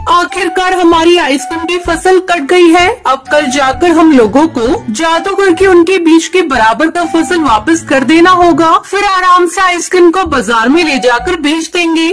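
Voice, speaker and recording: A woman performs a practical, caring little bird wife from a children's cartoon. The voice sits extremely high and speaks in an earnest, homely way, as if explaining household plans. Music plays clearly behind it. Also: a bit bright. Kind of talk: Hindi cartoon story voice acting.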